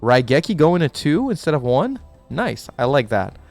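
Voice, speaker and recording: Excitable young man with a cough. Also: on the high side, normal speed, creaky, soft background music. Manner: excitable, rambling, swears casually. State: sick with a cough. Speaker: gaming channel host. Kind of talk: casual reaction commentary. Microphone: desk mic set too close.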